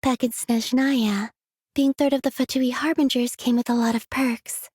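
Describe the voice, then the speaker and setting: Otherworldly young moon maiden, game character, airy and breathy, delicate, serene, gentle, close dry recording. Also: female voice, sits high, no room sound. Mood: dreamy, matter-of-fact. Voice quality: soft, breathy. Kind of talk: scripted video game character voice lines.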